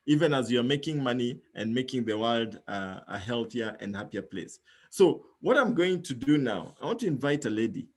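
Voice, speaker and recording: A man's voice: mid-range voice, animated, moderate pace, husky. Persuasive man hosting a business call. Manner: persuasive, calls for agreement with "yeah?". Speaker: host. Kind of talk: motivational business talk.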